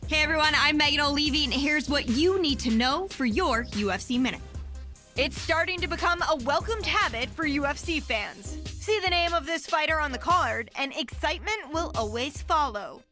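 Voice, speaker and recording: A woman presents a scripted sports news update in a bright, high voice. The delivery is upbeat, with music playing behind her. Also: expressive, normal speed.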